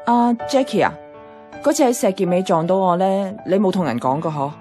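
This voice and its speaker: An actress plays a feisty young Hong Kong comics fan in a Cantonese radio drama. Her delivery is blunt and very animated at a medium pitch, over soft music. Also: fairly quick, close to an everyday voice. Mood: guarded. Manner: teasing, blunt. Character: feisty, blunt young female comics geek and office colleague.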